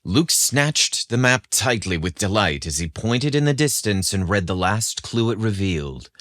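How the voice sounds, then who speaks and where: A man's voice: lowish voice, big pitch swings, measured pace, a bit bright, dry recording, clean studio sound. A man narrating a scripted story with dramatic expression.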